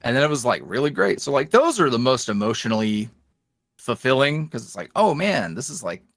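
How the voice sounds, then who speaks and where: A man talking casually as a podcast guest, in a rambling, animated, self-deprecating way. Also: mid-range voice, a little fast, no room sound, studio quality. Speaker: podcast guest, ASMR roleplay voice creator. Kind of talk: casual interview chat.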